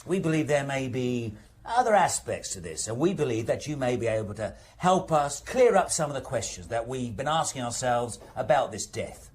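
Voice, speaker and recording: An actor playing a police inspector in a radio drama, questioning with false patience in a deliberate, repetitive, probing way. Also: male voice, medium pitch, very animated, slight background noise, lightly acted. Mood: coaxing, insistent. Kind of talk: naturalistic radio drama acting.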